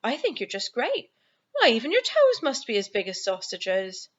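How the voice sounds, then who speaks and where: A woman's voice: on the high side, wildly swooping pitch, moderate pace, fairly bright tone, lo-fi sound, exaggerated voice. A woman voicing a small, inquisitive and indignant young girl in a storybook, outspoken and wide-eyed. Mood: consoling.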